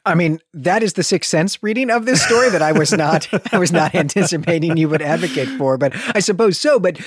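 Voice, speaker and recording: A man's voice: fairly high pitch, expressive, brisk, slightly rough, dry recording. A man co-hosting a podcast, talking through books conversationally in a methodical, explanatory way, close to the microphone. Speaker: podcast co-host. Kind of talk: conversational literary discussion.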